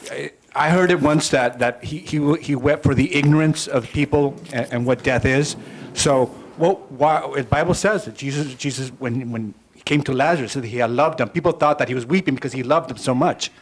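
A man asking a pastor a question about scripture, halting and restarting as he works his way to the point. His voice is at an ordinary male pitch, nothing marked. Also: a little hiss or hum. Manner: hesitant, many restarts. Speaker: audience member asking a question.

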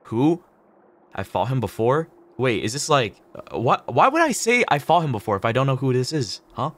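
A man's voice: mid-range voice, very animated, normal speed. A male streamer chatting casually and reacting to a game in an informal, sweary, exclamatory way.

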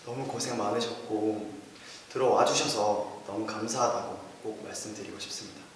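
A young man speaking politely and relaxed as a student ambassador in a casual Q&A. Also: fairly low pitch, normal speed. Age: young adult. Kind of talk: casual panel Q&A. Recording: echoey room, distant mic, loud noise.

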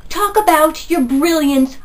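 A woman's voice: high-pitched, measured pace, recorded from a distance, slight background noise. A young woman in her twenties with a stuffy nose from a cold, chatting enthusiastically through a book review in a small bedroom. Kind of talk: casual video book review. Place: small bedroom with posters, indoors.

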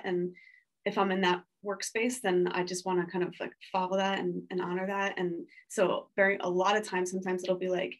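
This is a woman in her forties on a video call, speaking at a middle pitch. Her talk is loose and rambling, packed with fillers and self-corrections. She comes across as a working artist on a panel. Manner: rambling, many "like" fillers and restarts. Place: home studio room. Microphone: video call webcam.

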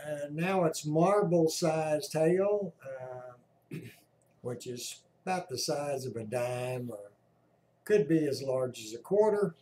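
A man giving casual explanatory commentary as a radio hobbyist, halting and often clearing his throat. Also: fairly high pitch, a little mellow. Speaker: amateur radio operator, narrator. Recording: roomy echo, clear background noise.

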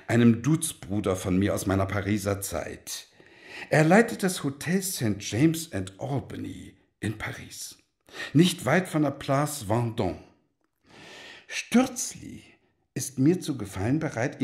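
Slow, animated, worldly godfather. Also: medium pitch. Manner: theatrical, florid, deliberate speech. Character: worldly, avuncular godfather grandly advising a family. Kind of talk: German radio play acting.

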